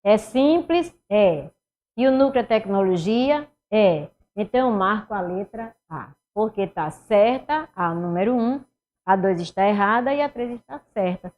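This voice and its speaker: A middle-aged woman with a Northeastern Brazilian accent, teaching an animated online exam-prep class and talking students through each step. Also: mid-range voice, unhurried. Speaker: Portuguese teacher. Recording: somewhat dull lo-fi sound.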